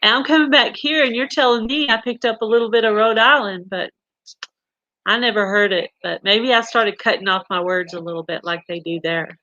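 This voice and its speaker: Chatty Texan woman, bright dry voice. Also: medium pitch, a little fast, no echo, dull audio. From Texas. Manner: chatty storytelling with fillers and restarts. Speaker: live stream host. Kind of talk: casual chat reading viewer comments.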